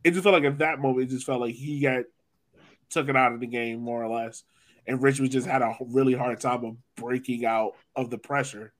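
A man hosting a sports podcast, talking soccer casually in an animated, informal way with jokey asides. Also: mid-range voice, brisk. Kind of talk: casual soccer chat and analysis.

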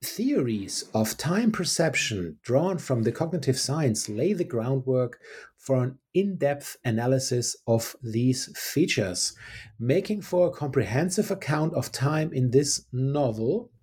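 A man's voice: mid-range voice, lively intonation, measured pace, a little mellow, slightly rough. A man hosting a podcast, upbeat as he reads an introduction to an interview. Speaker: podcast host.

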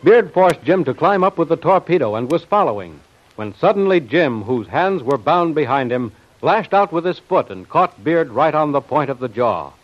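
Animated, dramatic American radio announcer, male. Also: on the high side, normal speed. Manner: dramatic, declamatory salesmanship. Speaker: announcer and narrator. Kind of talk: 1940s radio serial narration, scripted. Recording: dull old radio recording.

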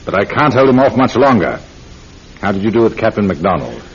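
An actor playing a dry, weary, authoritative police inspector in a radio drama, clipped and sardonic. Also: male voice, on the low side, sing-song, a little fast, vocal fry, slightly rough, exaggerated voice. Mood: brusque, dry. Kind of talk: old-time radio drama acting. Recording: dull old radio recording.

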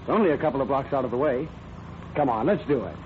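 A man's voice: mid-range voice, animated, fast, close to an everyday voice. A man playing an earnest, boyish eighteen-year-old in a vintage radio drama. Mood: tender, eager. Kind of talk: 1940s radio acting. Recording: tinny vintage radio, hissy background noise.

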